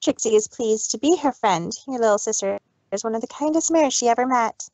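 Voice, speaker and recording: A woman's voice: a little high, a little fast, a little mellow, no echo, natural voice. Woman voicing a proud, formal cartoon pony mare. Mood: proud, curious. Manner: haughty, formal, third-person speech. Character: proud show-mare pony speaking of herself in third person.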